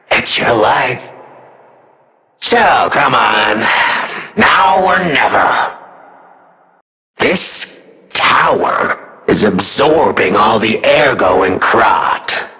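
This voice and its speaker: An actor playing a manic, mocking, murderous puppet in a video game, with theatrical delivery that is gleefully unhinged. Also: male voice, fairly low pitch, exaggerated voice. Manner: theatrical, gleefully unhinged, swinging between hushed and shouted. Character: manic, mocking, murderous male puppet, self-styled king of riddles.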